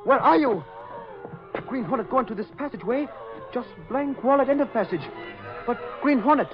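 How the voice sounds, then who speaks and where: A very high-voiced, loyal sidekick in an old radio thriller. He is anxious and quick to act, speaking clipped broken English without articles. The recording is muffled, with music behind. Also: expressive. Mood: anxious, urgent. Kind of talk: 1940s radio drama acting.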